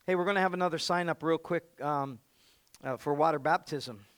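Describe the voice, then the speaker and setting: A pastor preaching an energetic sermon. He jokes playfully, then shouts his exhortations. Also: fairly high pitch, fairly quick, no room sound.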